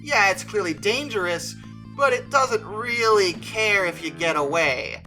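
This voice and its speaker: Video commentator with a bright, forceful voice at middling pitch, conversational and opinionated, leaning on key words, music underneath. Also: lively intonation, unhurried, rough recording. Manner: emphatic, conversational, stretches stressed words. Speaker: video creator and host. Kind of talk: opinionated movie review commentary.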